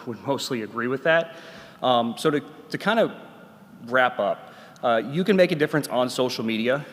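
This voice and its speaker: Loud-voiced man in his thirties, echoey hall. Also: mid-range voice. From Nashville, Tennessee. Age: 30s. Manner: loud, earnest, filler-heavy. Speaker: patient advocate speaker. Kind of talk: conference talk. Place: conference hall. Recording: reverberant conference hall.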